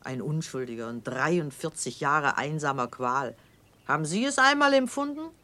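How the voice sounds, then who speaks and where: An older actress in a German radio drama playing a severe, devout old aristocrat with a haughty, grave, theatrical delivery. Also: female voice, low-pitched, animated, normal speed, pushed and bright. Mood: scornful.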